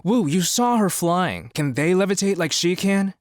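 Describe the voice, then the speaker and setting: Man as animated, scheming businessman. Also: high-pitched, moderate pace, no echo, studio quality. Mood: businesslike. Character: smooth-talking, scheming male rich drink promoter. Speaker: actor in an audio drama. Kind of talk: audio drama acting.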